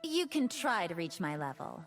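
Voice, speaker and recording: A woman's voice: fairly low pitch, sing-song, moderate pace, faint music behind. An actress voicing a playful, confident female fox spirit pop star in video game voice lines, with a poised, teasing delivery. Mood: flirty, confident.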